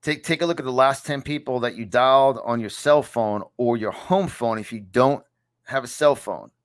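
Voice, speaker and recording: A man's voice: medium pitch, moderate pace, smooth. A man in his fifties with a New York accent, giving a motivational success lesson to camera from a small home studio, emphatic and repeating phrases for effect. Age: 50s. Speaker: success coach.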